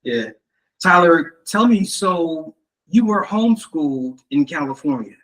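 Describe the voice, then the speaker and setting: A man hosting an interview, asking relaxed questions and affirming his guest often. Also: a little high, unhurried, rough recording. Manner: easygoing, relaxed, affirming with "yeah" and "wow". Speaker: interview host.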